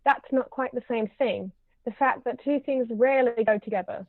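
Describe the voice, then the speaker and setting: A woman's voice: fairly high pitch, normal speed, dry recording, narrow, tinny audio, close to an everyday voice. A woman voicing an imperious older aristocratic aunt, stately and haughty, in a table read of a stage comedy over thin call audio. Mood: stern greeting.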